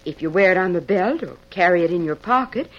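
A woman's voice: lowish voice, animated, fast. A young woman with an American accent playing a polite, eager publisher's daughter in an old radio western, on a muffled recording. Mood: earnest, eager. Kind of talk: old-time radio drama acting.

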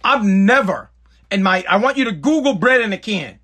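High, bright-voiced ranting man. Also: animated, moderate pace. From Boston area, New England. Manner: ranting, blunt, profane, repeats himself. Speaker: podcast host. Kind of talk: live-streamed podcast rant.

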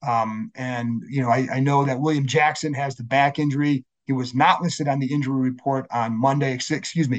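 Scratchy-voiced man hosting a podcast. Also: mid-range voice. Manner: conversational, self-correcting, many fillers. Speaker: podcast host. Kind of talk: solo sports podcast intro.

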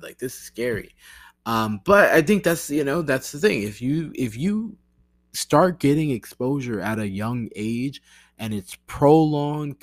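An American man talking casually to camera in a rambling, halting way, often interrupting himself with "like" and "you know". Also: mid-range voice, no echo. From United States. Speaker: language learner vlogger.

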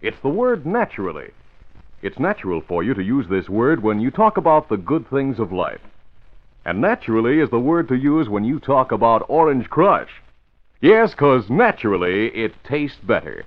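A man's voice: mid-range voice, big pitch swings, moderate pace. A man narrating and announcing in scripted 1940s radio style. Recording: thin, tinny old radio recording.

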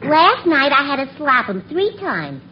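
A man-hungry New spinster, brassy and naive, in a vintage radio comedy. Her voice is high and swoops wildly up and down. The old recording is muffled and noisy. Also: big pitch swings, measured pace. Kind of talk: 1940s-50s radio comedy acting.

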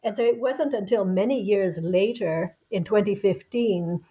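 A woman in her sixties, a professor being interviewed as a guest, telling her story in a reflective, unhurried way. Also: medium pitch, warm tone. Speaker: guest author, anthropology professor. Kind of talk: conversational interview answer.

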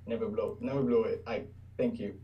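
A man's voice: on the low side, steady tone, fairly quick, recorded from a distance, dull audio. Hesitant young man. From Puerto Rico. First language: Spanish. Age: 20s. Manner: hesitant, searching for words. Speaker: guest trader. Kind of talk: casual interview.

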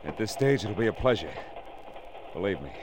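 An actor playing a wisecracking American private detective, narrating tersely in 1940s radio drama style. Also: male voice, fairly low pitch, sing-song, fairly quick, background noise. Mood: wry, needling. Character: hard-boiled wisecracking male private detective, narrating his case. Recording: old radio recording, music behind.

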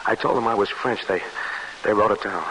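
An actor playing an eager, trusting and anxious young soldier in an old-time radio drama. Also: male voice, on the low side, steady tone, fairly quick, sharp tone, rough recording. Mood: anxious, downcast. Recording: hissy vintage radio broadcast.

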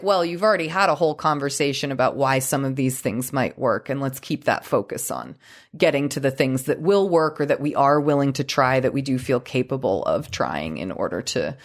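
A woman with a notably low voice co-hosts an advice podcast. She is chatty and laughs often. She restarts and rephrases her thoughts as she works through them. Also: animated, moderate pace. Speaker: podcast co-host.

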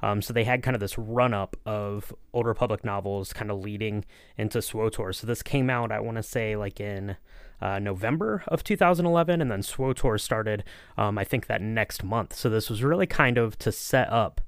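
A man's voice: on the low side, normal speed, smooth, dry recording, studio quality. A man co-hosting a podcast, explaining background in a casual, conversational way. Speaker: podcast co-host. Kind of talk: casual discussion.

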